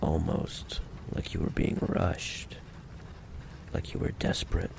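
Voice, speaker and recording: A man playing a menacing, impatient mafia boss in an ASMR roleplay, taunting the listener with slow, mock-courteous threats in a gravelly voice. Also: noisy background, close to an everyday voice. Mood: calm, probing. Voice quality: deep, gravelly. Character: menacing, possessive male mafia boss, smooth-talking and impatient.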